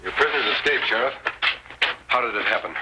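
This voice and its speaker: A man's voice: mid-range voice, quick pace, heavy background noise, close to an everyday voice. An actor playing a decisive, heroic Western lawman in an old radio drama. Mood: brisk, resolute. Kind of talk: 1930s-40s Western radio acting. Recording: old-time radio recording.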